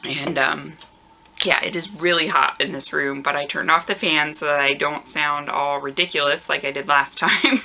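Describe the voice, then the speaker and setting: A woman in her thirties hosting a knitting video podcast in a casual, chatty way with lots of ums. She has a very low voice that sounds tired and groggy, recorded on a camera mic in a warm room at home. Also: moderate pace, gravelly. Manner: relaxed and chatty, frequent ums. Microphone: camera microphone.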